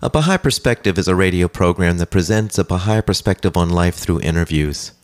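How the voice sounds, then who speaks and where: A man hosting a radio interview program, introducing the show and asking questions in a calm, steady announcing manner. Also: deep voice, brisk, music in the background. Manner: calm, steady announcing, with brief back-channel replies. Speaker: radio host.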